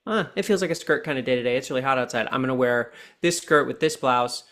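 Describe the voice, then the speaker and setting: A man in his forties, a podcast guest and coach, talking earnestly and slowing down to stress his points. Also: mid-range voice, fairly bright tone. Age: 40s. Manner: deliberate, earnest coaching tone, slows down for key points. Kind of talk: reflective podcast conversation.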